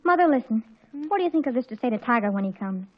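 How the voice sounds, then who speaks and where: High-pitched dramatic teenage girl. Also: expressive, very fast. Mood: anxious pleading. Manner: dramatic, pleading, breathlessly earnest. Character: excitable teenage girl, dramatic daughter anxious about her date. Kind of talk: 1940s radio comedy acting. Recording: dull old 1940s radio recording.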